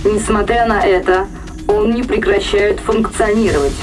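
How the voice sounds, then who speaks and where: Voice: mid-range voice, steady tone, moderate pace, soft background music, close to an everyday voice, poor audio. A performer voicing a dutiful ship's computer in a science fiction audio drama, formal and matter-of-fact.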